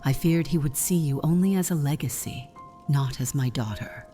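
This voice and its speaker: A woman playing a solemn vampire mother in a fantasy game roleplay, speaking in a grave, measured, breathy voice that often nears a whisper. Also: sits low, mellow, faint music behind, lightly acted. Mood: grave confiding. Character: solemn vampire mother confessing her past to her daughter. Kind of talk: scripted fantasy roleplay dialogue.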